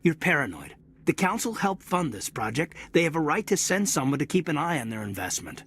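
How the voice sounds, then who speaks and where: An actor playing a level-headed young officer in a video game, teasing the pilot dryly. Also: male voice, fairly high pitch, sing-song, fairly quick, a bit bright, a little hiss or hum. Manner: dry, teasing.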